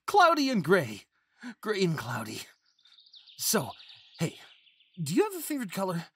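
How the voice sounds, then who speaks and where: Casting: male actor as a shy, naive young faun for fantasy audio roleplay, breathy, unhurried, stammering and eager. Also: high-pitched, wildly swooping pitch, no echo, heavily acted. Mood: shy, friendly. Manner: stammering, hesitant, childlike eagerness. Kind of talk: ASMR fantasy roleplay, acted monologue.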